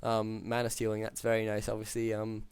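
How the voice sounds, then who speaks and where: A man calling a strategy game match alone, talking through plays with many ums, his voice hoarse after hours of casting. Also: fairly low pitch, a little fast, no echo. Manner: steady, filler-heavy analytical play-by-play. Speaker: game caster. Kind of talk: solo esports play-by-play commentary.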